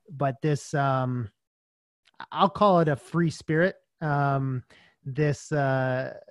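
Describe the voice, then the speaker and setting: A man hosting a podcast interview, relaxed and encouraging, often giving short acknowledgments. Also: on the high side, no echo. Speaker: podcast host. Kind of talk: casual interview conversation.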